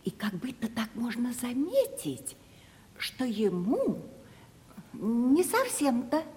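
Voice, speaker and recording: An actress playing a sly, gossipy, fawning older servant widow in a Russian radio comedy, speaking in an obsequious, insinuating, folksy way. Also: female voice, fairly high pitch, very animated, slow, a little mellow, a little hiss or hum. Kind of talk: 1970s Russian radio theatre comedy acting. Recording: reverberant studio radio play recording.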